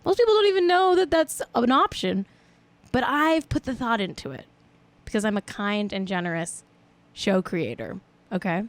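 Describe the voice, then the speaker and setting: A woman's voice: on the high side, animated, moderate pace. A woman in her late twenties hosting a live stream show, chatting in a rambling, playful, self-mocking way. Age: 20s. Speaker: show host. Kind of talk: casual livestream chat.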